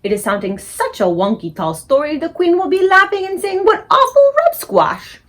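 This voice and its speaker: A woman voicing a big, gentle old male giant who mangles his grammar and invents words. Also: extremely high pitch, big pitch swings, moderate pace, voice pushed up. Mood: dismissive. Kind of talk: audiobook character reading.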